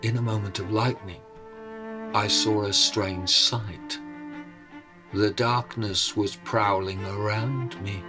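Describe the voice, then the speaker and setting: Slow, deep-voiced dramatic male storyteller. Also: fairly even intonation. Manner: measured, theatrical, suspenseful pauses. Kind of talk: dramatic oral storytelling over music. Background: instrumental music.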